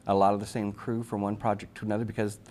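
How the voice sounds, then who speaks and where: A man telling anecdotes as an interview guest, chatty, animated and self-deprecating. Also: lowish voice, racing. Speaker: interview guest, actor and writer. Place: busy convention event room. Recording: clearly noisy live event room recording.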